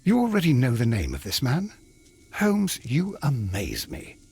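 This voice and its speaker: An actor playing a loyal, earnest British gentleman, a detective's companion in a video game, with a husky voice and a polite, slightly put-upon manner. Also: mid-range voice, wildly swooping pitch, a little slow, heavily acted. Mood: curious, amazed. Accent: British English. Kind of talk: video game character acting.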